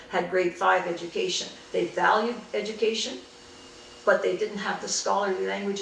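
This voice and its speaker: An older woman from Canada, recalling her early life and studies in an unhurried, reflective way in an oral history interview. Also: medium pitch, vocal fry, a bit bright, roomy sound, distant mic, slight background noise, low-quality recording. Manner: reflective, unhurried storytelling with frequent restarts.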